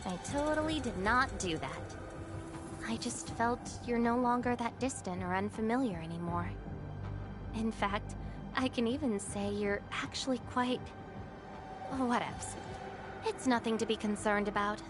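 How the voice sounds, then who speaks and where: A woman's voice: a little high, unhurried, close to an everyday voice. A woman playing an enigmatic, self-assured female companion in a video game, teasing and aloof, shifting between formal phrasing and flippant slang. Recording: music under the voice.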